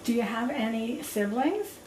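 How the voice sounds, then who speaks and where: A woman's voice: fairly high pitch, lively intonation, a little slow, a little mellow. A woman conducting an oral history interview, asking formal questions. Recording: roomy, distant mic with faint noise.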